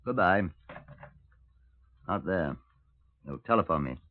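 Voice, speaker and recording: An actor playing a brisk, wry British Scotland Yard superintendent in a 1950s radio crime drama, authoritative and dry. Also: male voice, low voice, expressive. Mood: brisk, urgent. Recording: tinny, noisy old radio recording.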